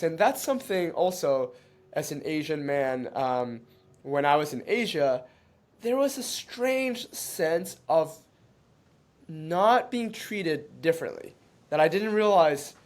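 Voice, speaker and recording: Man, interview guest, slightly higher voice, halting and thoughtful, restarts often. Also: animated. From born in China, raised in Canada. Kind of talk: reflective conversational interview.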